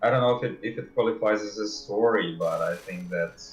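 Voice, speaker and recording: Lowish-voiced man in an echoey room. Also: fairly quick. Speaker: game developer, stream guest. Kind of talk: casual interview chat. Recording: echoey room with faint noise.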